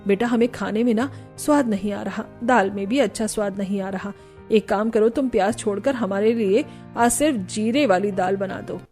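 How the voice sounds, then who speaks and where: A woman's voice: mid-range voice, normal speed, a little mellow, lightly acted. Woman playing a kindly, firm mother-in-law, music behind. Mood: concerned. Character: kindly but firm middle-aged Indian mother-in-law. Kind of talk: acted dialogue in a moral family cartoon.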